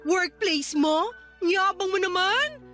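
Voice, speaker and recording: Shrill, animated, scornful Filipina. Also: female voice, slow pace, soft background music, heavily acted. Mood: scornful, proud. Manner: scornful, confrontational, melodramatic. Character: proud, bitter, sarcastic woman resort employee. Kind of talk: Filipino radio drama acting.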